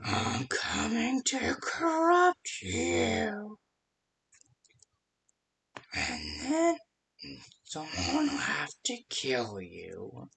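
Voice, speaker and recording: A performer voicing a menacing, gloating nightmare villain for a game, with a drawn-out, threatening delivery. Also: medium pitch, vocal fry, fairly bright tone, slightly rough, voice pushed up, full character voice.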